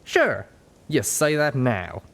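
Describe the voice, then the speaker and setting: A man's voice: medium pitch, big pitch swings, takes it slowly, gravelly, fairly bright tone, voice pushed up, exaggerated voice. A man voicing a folksy, wry male flying pony companion in an audiobook, with a drawling country dialect. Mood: wistful. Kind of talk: audiobook character voice.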